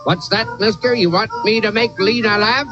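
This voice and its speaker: A man's voice: high-pitched, moderate pace, close to an everyday voice. Male actor as brash carnival showman, vintage radio comedy, declamatory, brusque, dull recording, prominent music. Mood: showy hawking. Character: brash male carnival sideshow barker, fast-talking showman. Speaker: sideshow barker. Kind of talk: old-time radio comedy patter.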